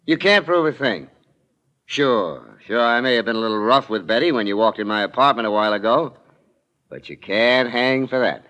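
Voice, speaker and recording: A menacing radio-drama killer, sharp-edged. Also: male voice, medium pitch, animated, moderate pace. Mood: defiant. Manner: tough, threatening, slangy. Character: menacing, defiant young murderer cornered by detectives. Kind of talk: 1940s radio drama acting.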